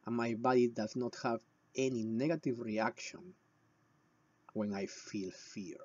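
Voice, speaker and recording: A man from Peru with a Peruvian Spanish accent and a husky voice, explaining and telling stories to viewers in short, emphatic phrases with deliberate pauses. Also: medium pitch, darkish tone, lo-fi sound. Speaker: shamanic healer presenting a video. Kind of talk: explanatory talk with personal storytelling.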